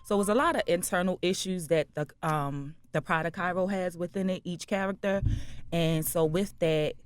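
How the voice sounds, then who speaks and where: A woman's voice: on the low side, moderate pace, clear, resonant tone. A woman interview guest, a writer and director, explaining a story in a studio interview.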